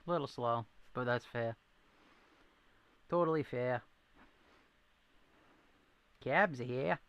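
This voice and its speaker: A man's voice: mid-range voice, slow, fairly bright tone, smooth, lo-fi sound. A man from New England with a thin Boston accent, rambling and joking at his own expense as he hosts a casual livestream and answers viewers. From New England, near Rhode Island. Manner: rambling, self-deprecating, reacts to chat. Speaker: livestream host.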